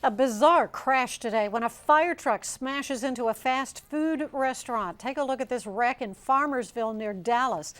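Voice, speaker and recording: A woman with an American accent reading scripted news as a television anchor. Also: on the high side.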